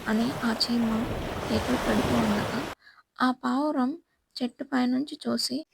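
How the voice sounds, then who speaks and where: A woman's voice: on the high side, unhurried, vocal fry, heavy background noise. A woman storyteller reading a scripted children's moral tale as narrator.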